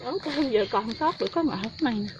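A woman's voice: on the high side, expressive, fast talker, highs cut off. A woman with a southern Vietnamese Mekong Delta accent, chatting casually and exclaiming outdoors, picked up by a nearby phone. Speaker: mushroom picker in a vlog. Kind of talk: casual chat. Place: outdoors in a rural coconut garden. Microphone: phone held by companion nearby. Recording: noisy outdoor phone recording with insects.

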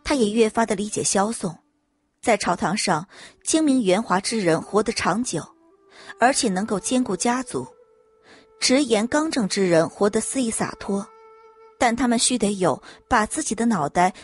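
A woman reading scripted dramatic audiobook narration in an expressive storytelling manner. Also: mid-range voice, moderate pace, slightly rough, soft background music.

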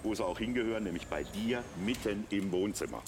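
A man's voice: lowish voice, animated, fairly quick, background noise. A middle-aged man presenting enthusiastically on a TV shopping show, explaining products as he sells them. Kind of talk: live teleshopping product pitch. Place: TV shopping studio. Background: music.

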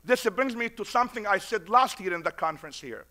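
Middle-aged imam w/ an accent speaking at a podium mic, high voice, repeats lines to drive them home. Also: male voice, animated, brisk, pushed and bright. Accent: foreign accent. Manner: preacher-like, repeats lines for emphasis. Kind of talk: conference speech. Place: conference room at a podium.

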